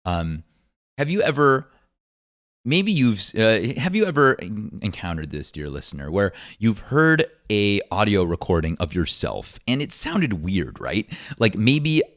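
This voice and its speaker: A man with a deep baritone voice, hosting his own podcast and musing earnestly with many ums and likes. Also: huge pitch swings, a little slow, fairly bright tone, no room sound, studio quality. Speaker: podcast host. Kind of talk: solo podcast monologue. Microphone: podcast microphone.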